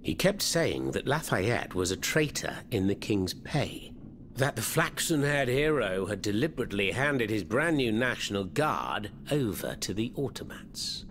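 Game role: theatrical underground French rebel, French accent, very lively intonation, clearly noisy background. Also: male voice, fairly low pitch, measured pace. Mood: conspiratorial. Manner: theatrical, florid, eloquent. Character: theatrical, fervent male French revolutionary hiding underground. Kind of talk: video game cutscene acting.

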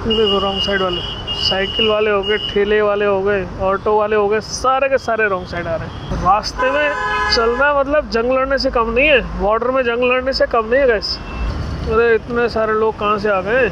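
A high-voiced man in his thirties talks casually to his viewers while riding a scooter through the city. The sound comes from a camera on the bike, with loud street and engine noise all around. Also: steady tone, normal speed, dull audio. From India. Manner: chatty, addresses viewers as guys. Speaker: vlogger. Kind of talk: casual moto vlog commentary. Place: riding a scooter on city roads, then indoors at an office. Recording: traffic noise of a scooter ride.

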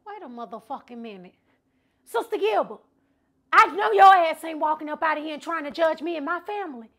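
A woman playing a loud, confrontational, foul-mouthed churchgoing wife in a comedy sketch. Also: high voice, animated, a little fast, full character voice. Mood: indignant. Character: furious foul-mouthed churchgoing wife exposing everyone's secrets. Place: furnished living room at home.

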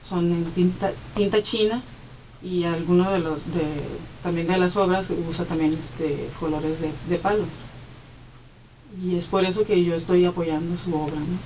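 Female guest, low voice, slow and hesitant, faint studio hiss. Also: steady tone, warm tone, low-quality recording. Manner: slow, hesitant, many fillers. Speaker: artist's representative. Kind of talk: radio interview guest. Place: small radio studio. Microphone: desk studio mic.

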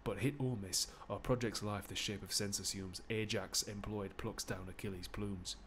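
A man playing a shrewd old Greek statesman, declaiming verse from a classical play in a measured way. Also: fairly low pitch, steady tone, a bit bright, slight background noise, natural voice.

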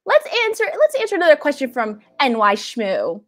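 A woman's voice: high-pitched, wildly swooping pitch, a little fast. A woman in her thirties hosting a library event on a video call, chatting casually with a young audience. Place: indoors at a video call setup.

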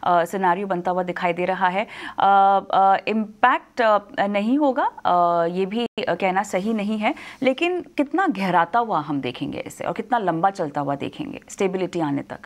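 A woman in her thirties anchoring live business news from a TV studio, brisk but with frequent fillers. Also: medium pitch, animated.

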